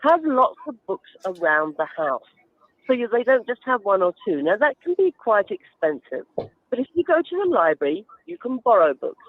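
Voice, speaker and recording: Woman explaining stuff in a radio interview, really animated, close dry sound, emphatic and teacherly. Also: medium pitch, normal speed, no echo, narrow, tinny audio. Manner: instructive, emphatic, illustrates with everyday examples. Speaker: guest author and reading advocate.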